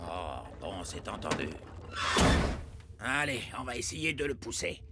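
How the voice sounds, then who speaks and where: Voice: medium pitch, big pitch swings, unhurried, very noisy. An actor voicing a fussy, pompous old male elf sage in a children's cartoon, hesitant and easily flustered. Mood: grudging. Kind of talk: dubbed children's cartoon acting.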